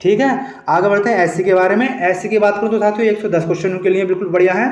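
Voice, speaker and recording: High-pitched man explaining, echoey lo-fi. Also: steady tone, very fast. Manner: repeatedly addresses listeners as friends, repeats points for emphasis. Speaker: host of an exam coaching channel. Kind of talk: explanatory exam-update presentation. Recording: dull, lo-fi, echoey room recording.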